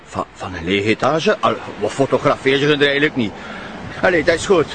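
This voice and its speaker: An actor playing a flustered street policeman in a radio drama, excitable and exclamatory, with a Flemish accent. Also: medium pitch, brisk, natural voice. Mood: flustered, bossy. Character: flustered male street policeman shooing tourists. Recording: crowded outdoor street with traffic.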